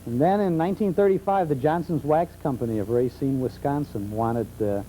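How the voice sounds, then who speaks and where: American guy, really soft dark voice, chatting keenly as studio guest expert, faint noise. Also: medium pitch, fairly quick, rough recording. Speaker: guest expert on old-time radio. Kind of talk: talk show conversation, enthusiastic anecdotes. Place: television studio.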